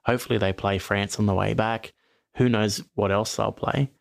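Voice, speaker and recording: A man's voice: fairly low pitch, fairly even intonation, normal speed, creaky, dry recording, studio quality. A man talking sport on a podcast in a rambling, frank way, often restarting his sentences. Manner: rambling, self-correcting, frank opinions. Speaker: podcast host. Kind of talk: casual sports chat.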